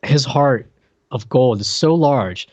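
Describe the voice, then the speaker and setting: A man's voice: medium pitch, lively intonation, slow pace. A man co-hosting a podcast in loose, unscripted pop-culture chat with a joking streak. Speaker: podcast co-host.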